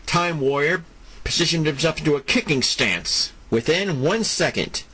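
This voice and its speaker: A man narrating a scripted superhero audio drama in a deliberate style, with dramatic pauses between phrases. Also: a little high, pushed and bright.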